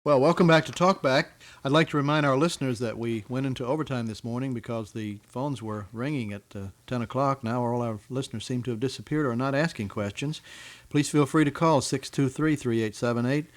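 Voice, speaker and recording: A middle-aged man with a medium-pitched voice hosts a casual radio interview from a small studio. His delivery is folksy and inquisitive, in the manner of an amateur interviewer. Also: fairly quick. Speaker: guest interviewer on a radio talk show.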